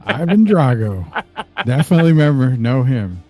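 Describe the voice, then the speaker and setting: A man's voice: mid-range voice, sing-song, moderate pace, darkish tone, dry recording, faint music behind. A man hosting a podcast in casual, informal chat, often repeating himself for emphasis. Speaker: podcast host. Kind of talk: casual sports-and-entertainment chat.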